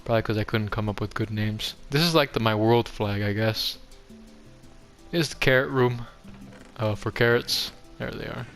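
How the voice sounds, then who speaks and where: A man's voice: lowish voice, moderate pace, fairly bright tone. A young man giving a casual, offhand game tour commentary, rambling along in a self-deprecating way. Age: young adult. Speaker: gaming video host. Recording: music behind the voice. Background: background music.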